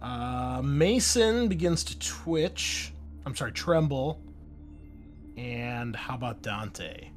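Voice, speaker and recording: A man's voice: mid-range voice, lively intonation, slow pace, soft background music. A man with an American accent working as a game master, describing scenes in a conversational way and chatting casually with players. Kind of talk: improvised tabletop game narration with casual table talk.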